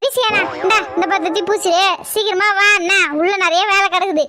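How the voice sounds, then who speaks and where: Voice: extremely high pitch, steady tone, a little fast, pushed and bright. A performer voicing a bossy, harried mother who nags and coaxes, in a comedy skit. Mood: coaxing. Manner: nagging, coaxing, protesting. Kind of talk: family comedy skit acting.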